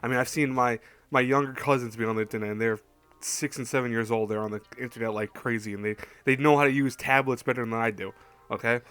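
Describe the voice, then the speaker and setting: A man's voice: mid-range voice, fairly even intonation, a bit bright, smooth. A man hosting a YouTube show with casual opinion commentary, often hedging and correcting himself mid-sentence. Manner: hedging, halting, self-correcting. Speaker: YouTube host.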